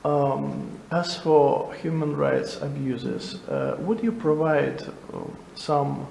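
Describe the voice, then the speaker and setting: Male interviewer, very slow and halting, gravelly voice, echoey room. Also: a little high, a little mellow. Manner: slow, halting questions with many restarts. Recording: echoey room, faint noise.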